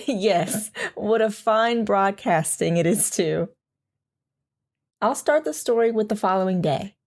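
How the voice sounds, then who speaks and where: A woman playing a composed, gentle young mother as a radio guest, telling her story conversationally in an audio drama. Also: mid-range voice, lively intonation, takes it slowly. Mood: calm, polite.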